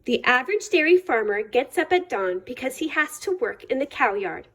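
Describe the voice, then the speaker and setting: A woman's voice: high-pitched, very animated, moderate pace, a bit bright, pitched below the performer's own voice, exaggerated voice. A woman voicing an earnest, wonder-filled farm boy turned snow photographer in a storybook.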